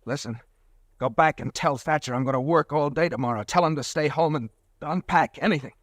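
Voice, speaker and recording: A man voices a worn-out, cornered pharmacist in an old radio thriller. His husky, raised voice rises and falls sharply. The recording is dry. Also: a little high, brisk, no room sound, lightly acted. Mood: grim. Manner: tense, nervy. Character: desperate sleepless male pharmacist. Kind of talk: 1940s radio acting.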